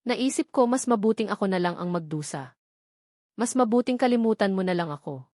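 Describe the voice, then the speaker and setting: A woman's voice: mid-range voice, lively intonation, fairly quick, a little mellow, dry recording, natural voice. An earnest, remorseful man pleads with his lost love in a romance audio story, read by a woman. The delivery is confessional, and the sound is dry.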